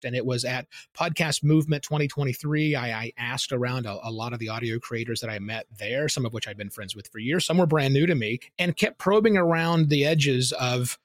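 A man's voice: medium pitch, brisk, few pauses, no room sound. A man talking as a podcast guest, explaining things in an enthusiastic, casual way. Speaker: podcast guest, newsletter curator. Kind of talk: casual interview talk.

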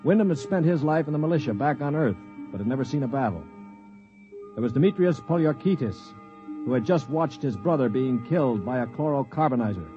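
A man's voice: mid-range voice, brisk, natural voice. Man doing a level-headed, dry-witted hero narrating a vintage sci-fi radio play. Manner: calm, sardonic, reasoning. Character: world-weary space traveler and narrator. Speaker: narrator and lead. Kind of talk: 1950s radio drama acting. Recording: dull old radio recording with music behind.

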